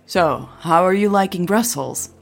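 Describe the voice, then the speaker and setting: A woman's voice: on the low side, animated, measured pace, gravelly, faint music behind, heavily acted. A woman voicing an eager, upbeat, businesslike hipster agency man in an audio drama. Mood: friendly.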